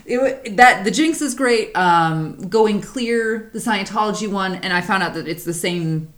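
A woman with a deep voice co-hosting a podcast, chatting casually, animated and swearing freely. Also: unhurried. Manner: animated, swearing, laughs often. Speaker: podcast co-host. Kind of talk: casual chat.

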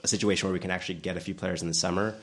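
A deep-voiced man talks quickly on a football podcast. He lays out his predictions in a calm, measured way. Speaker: podcast panelist. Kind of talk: casual football podcast chat.

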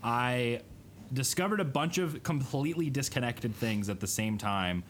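A man's voice: medium pitch, lively intonation, moderate pace, slight background noise. A man of thirty, co-hosting a podcast in casual chat and telling a rambling story that keeps correcting itself. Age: 30s. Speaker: podcast co-host.

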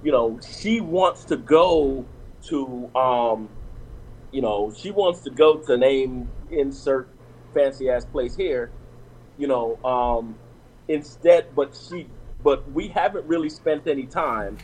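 A man's voice: medium pitch, slow pace, darkish tone, slight background noise, rough recording. A man on a discussion panel talking casually and telling personal stories, with lots of restarts and "you know what I'm saying.".

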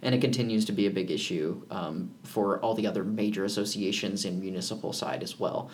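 A man with a fairly low voice co-hosts a casual podcast. He talks in an easygoing, rambling way, stopping to restart his sentences and filling the gaps with ums. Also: brisk, slight background noise. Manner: relaxed, rambling with fillers and restarts. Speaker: podcast co-host.

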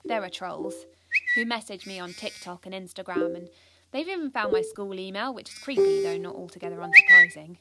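A woman's voice: mid-range voice, lively intonation, a little fast, heavy background noise, close to an everyday voice. A British woman playing an earnest, driven teenage schoolgirl activist, confiding in the listener in an audio drama monologue. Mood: confiding, wry.

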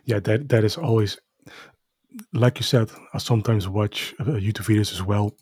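Low husky Dutch-accented man. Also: normal speed, a little mellow, no echo. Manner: hesitant, many fillers and restarts. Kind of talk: casual podcast conversation.

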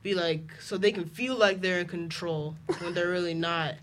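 High, bright-voiced twelve-year-old boy. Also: steady tone, normal speed, slight background noise. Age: twelve years old. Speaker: homeschooled student guest. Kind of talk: casual interview answers.

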